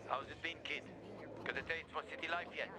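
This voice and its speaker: An actor voicing a breezy, familiar man with a taste for parties and a fast-talking manner, in video game dialogue. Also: a little high, fairly even intonation, background noise, natural voice. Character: breezy male party-loving schemer.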